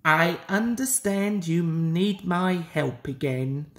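A woman's voice: fairly low pitch, slow. A woman voicing a friendly, helpful male bus in a children's storybook.

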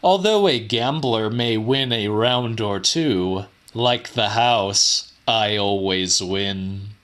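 A man reading a horror audiobook in a measured, theatrical way. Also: on the low side, a bit bright. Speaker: audiobook narrator. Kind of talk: dramatic horror audiobook narration.

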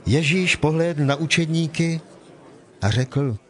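A man giving scripted narration for a dramatized audio Bible, in a measured, deliberate storytelling manner. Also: fairly high pitch.

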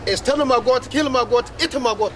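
A man's voice: extremely high pitch, a little fast. An actor playing an indignant villager complaining about his goat, with a Nigerian Pidgin accent. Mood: insistent. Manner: insistent, repetitive. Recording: outdoors with traffic noise.

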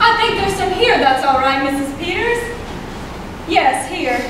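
A young woman on a school stage playing a loyal, sharp-tongued farm neighbor who speaks bluntly and defensively. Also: very high-pitched, expressive, measured pace. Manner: blunt, defensive, plain-spoken. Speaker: student actor. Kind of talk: amateur stage acting. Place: high school auditorium stage. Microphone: far camera in the audience. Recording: echoey hall, far off, noisy.

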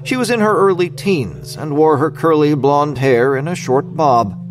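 Higher-pitched male story narrator. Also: moderate pace, faint music behind. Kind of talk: scripted dramatic story narration.

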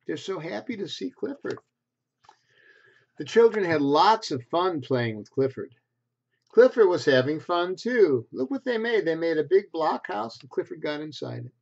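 Man in his sixties, slightly high voice, expressive children's storytelling, simple phrasing, dull webcam sound. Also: normal speed, creaky. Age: 60s. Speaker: storyteller reading a picture book to preschoolers. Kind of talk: read-aloud children's storytelling with asides. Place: small bedroom at home, indoors. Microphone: computer webcam at desk distance.

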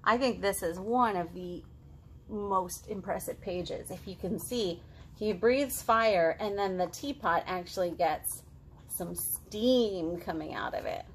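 A woman in her thirties hosting a children's storytime, reading and chatting to young kids in an expressive, sing-song, exaggerated way. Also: fairly low pitch, slow, a little hiss or hum, rough recording. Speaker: children's librarian, storytime host. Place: living room at home, indoors.

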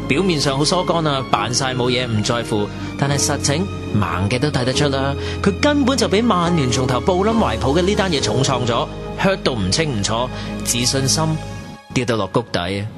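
A man with a Hong Kong Cantonese accent playing a chatty young narrator who talks wryly about his friends in a radio drama. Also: on the high side. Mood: wry, dramatic. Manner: wry, colloquial storytelling. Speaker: narrator and character. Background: music.

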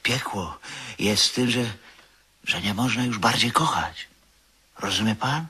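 A man in a 1970s Polish radio drama playing a drunken, wistful bar regular, halting and repeating his words, husky, moving between whispers and cries. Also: on the low side, sharp tone, exaggerated voice. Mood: agitated, wistful.

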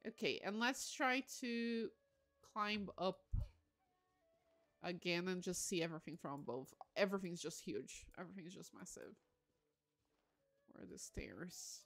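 A woman chatting casually on a game livestream, excitable and gushing, often breaking into exclamations. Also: on the low side, a little slow, pauses often, soft background music. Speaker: live streamer.

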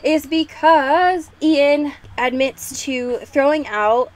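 A woman's voice: high voice, slow pace. A young woman hosting a podcast in a casual, sweary way, peppering her talk with "like". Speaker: podcast host.

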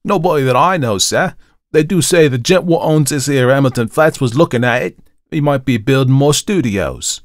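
A man's voice: mid-range voice, moderate pace, no echo, clean studio sound, voice pushed up, natural voice. Man playing an indignant Cockney manservant. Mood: indignant, gossipy. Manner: colloquial, deferential, calls master sir. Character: Cockney young manservant, ex-soldier, indignant and deferential.

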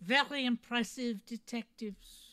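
A woman playing a smug, taunting villain in a theatrical, drawn-out way at a comedy table read. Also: fairly high pitch, noisy background, natural voice. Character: smug taunting villain, an old rival of a cop. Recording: video call.

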